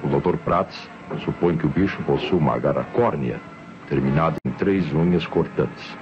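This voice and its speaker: An actor playing a thoughtful, methodical Brazilian police commissioner in a radio mystery, explaining evidence formally and deliberately. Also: male voice, very deep voice, over music. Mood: grave, puzzled. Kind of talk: old radio mystery serial acting. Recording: muffled, noisy vintage radio drama.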